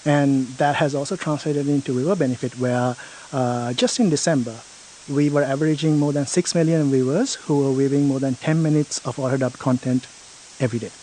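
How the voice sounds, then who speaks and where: A man's voice: medium pitch, steady tone, brisk, mellow, slight background noise, poor audio. A man in an interview explaining a product feature step by step, often checking in with "right?".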